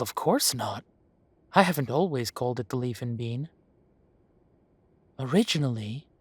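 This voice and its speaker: A man playing a genial, long-lived elven café owner who reminisces in an unhurried, chatty way, in a roleplay audio drama. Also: mid-range voice, no room sound. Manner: unhurried, musing, conversational with pauses.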